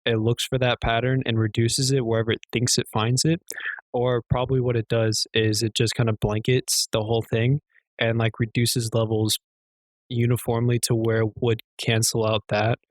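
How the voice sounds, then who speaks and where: A young man with a quiet, slightly hoarse voice at an ordinary pitch, chatting loosely and joking as a podcast co-host. He rambles and swears casually. The sound is dry and close to the mic. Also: level tone, moderate pace, no room sound. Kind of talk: casual chat.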